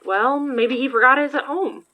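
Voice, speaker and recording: A woman's voice: high-pitched, moderate pace, lightly acted. A woman acting in an audio drama as a wry, casual investigator and supportive friend, with slightly dull sound. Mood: curious. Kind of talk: audio fiction podcast acting.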